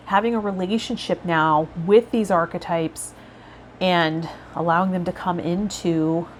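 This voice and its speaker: A woman vlogging casually and reflectively to her viewers, rambling a little and pausing mid-thought, with some guided meditation cues. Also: fairly low pitch, slight background noise. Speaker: host of a tarot vlog and healer.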